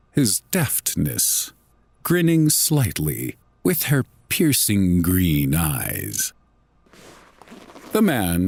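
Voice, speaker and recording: A man reading a scripted horror story in a deliberate, dramatic, literary manner. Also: low voice, gravelly, a little mellow, husky, dry recording. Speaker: narrator.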